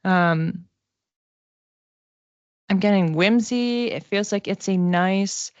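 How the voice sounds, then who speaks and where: A woman hosting an oracle card reading in a casual, halting, conversational way, gently reassuring as she improvises. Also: medium pitch, smooth, no echo. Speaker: host giving psychic oracle card readings. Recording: dull, muffled lo-fi sound.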